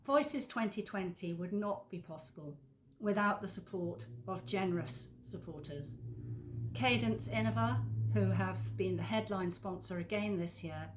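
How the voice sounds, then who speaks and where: A woman's voice: medium pitch, measured pace, noisy background. A woman presenting an awards event, reading out a scripted thank-you speech.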